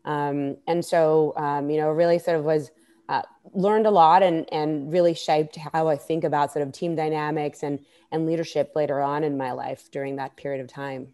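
Interview guest: woman, 30s, from Israel, low voice, hesitant conversational delivery, video call. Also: steady tone, moderate pace, warm tone. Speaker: interview guest, startup founder. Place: indoor room, video call. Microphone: earphone mic on video call.

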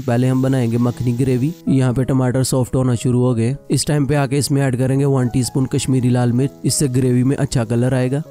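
A man narrating a cooking video voice-over, explaining each step calmly and plainly. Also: mid-range voice. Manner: calm step-by-step instruction. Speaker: cooking channel host.

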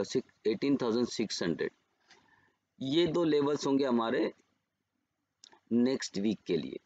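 Man, Indian accent, trading educator, screen-share voice-over, mid pitch, muffled lo-fi audio. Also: a little mellow. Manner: unhurried, pauses and self-corrects while reading levels. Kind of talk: market analysis over charts. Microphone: screen recording voice-over.

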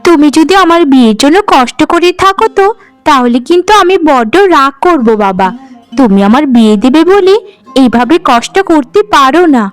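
A woman voicing a cheeky, affectionate young village girl in a cartoon, chirpy and teasing, with a high voice. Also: lively intonation, normal speed, soft background music. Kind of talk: cartoon voice acting.

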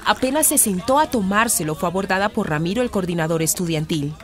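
A woman's voice: mid-range voice, expressive, fast talker. A woman with a Colombian accent giving scripted narration for a radio soap. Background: music.

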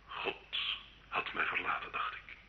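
A man's voice: a little slow, sharp tone. An actor playing a tormented, murderous French nobleman confessing in an old radio drama, theatrical and impassioned. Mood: dark, fevered confession. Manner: impassioned, anguished, dramatic swings from whisper to cry. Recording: muffled old radio recording, faint noise.

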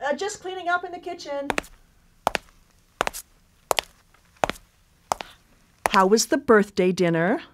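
An actress playing a brisk, sardonic older sister and proud home cook in a contemporary radio drama, with a dry, teasing manner. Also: female voice, high-pitched, big pitch swings, slight background noise. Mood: caring, dry.

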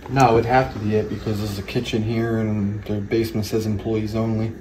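A man's voice: fairly low pitch, brisk, slight background noise, low-quality recording. A man exploring an abandoned building alongside a host, tersely naming what he finds, picked up on the camera mic. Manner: terse, flat, names objects in few words. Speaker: exploring companion. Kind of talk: casual remarks while exploring.

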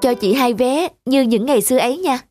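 An actress playing an affectionate, devoted young working woman in an animated drama. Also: high-pitched, brisk, loud music behind the voice, natural voice. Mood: coaxing.

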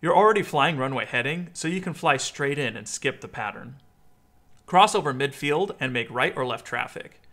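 An American man in his thirties giving a clear instructional explainer as a video host. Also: mid-range voice, animated, moderate pace, pushed and bright.

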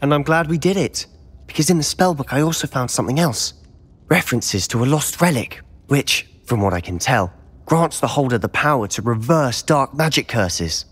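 A man's voice: medium pitch, animated, normal speed, husky. A young man with a British accent playing a defiant, secretive teenage wizard in a video game, earnest and insistent. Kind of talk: video game cutscene acting.

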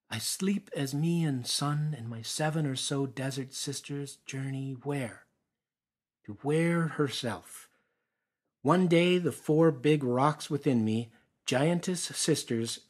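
Slow, measured Canadian man reading poetry. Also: a little high, fairly bright tone. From Ontario, Canada. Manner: deliberate, theatrical phrasing with pauses. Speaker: actor reading poems aloud. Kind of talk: expressive dramatic poetry reading.

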